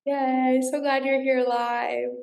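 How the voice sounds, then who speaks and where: A woman's voice: sits high, little pitch movement, slow, darkish tone. A woman coach hosting a casual live group call, effusive and encouraging in the way she talks. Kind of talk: casual supportive coaching chat.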